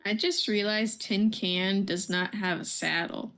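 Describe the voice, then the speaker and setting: A woman with an average-pitched voice talks informally on a game stream. Her delivery is conversational, commenting casually on the game as it goes. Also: fairly even intonation, unhurried, a bit bright.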